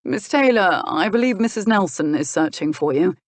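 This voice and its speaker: A woman voicing a patient, exasperated gentleman in a historical romance audiobook, with a measured, dry, reasoning manner. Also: on the low side, expressive, no room sound, close to an everyday voice. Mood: dry, polite.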